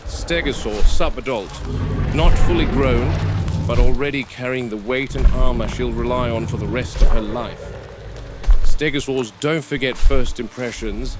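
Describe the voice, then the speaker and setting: A man playing a watchful, weary dinosaur park game warden, narrating a found-footage log in a measured, deliberate way. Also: mid-range voice, natural voice. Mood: quietly admiring. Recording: noisy field recording with vehicle ambience.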